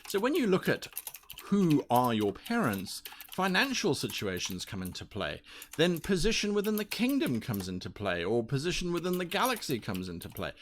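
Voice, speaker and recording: A man with a slightly high voice explains things as a podcast co-host. His delivery swings up and down with lots of emphasis, piling up rhetorical questions and parallel phrases. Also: wildly swooping pitch, moderate pace, slight background noise. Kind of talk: explanatory discussion of tabletop roleplaying.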